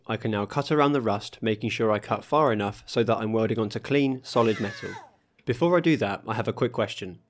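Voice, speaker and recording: A man reading a scripted voice-over for his own car restoration video, calmly explaining each workshop step. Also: medium pitch. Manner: steady, matter-of-fact explaining of workshop steps. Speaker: host of a car restoration channel.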